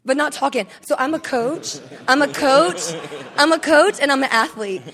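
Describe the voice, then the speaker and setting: A woman in her thirties with a raspy voice, giving a candid and emotional personal testimony on a conference stage. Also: high voice, normal speed, a bit bright.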